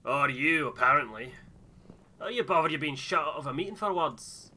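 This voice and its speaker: A man's voice: fairly high pitch, lively intonation, normal speed, sharp tone, heavily acted. A man voicing a blunt, teasing game crew member with a taunting manner. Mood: teasing, mocking. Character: brash, teasing, sharp-tongued expedition crew member.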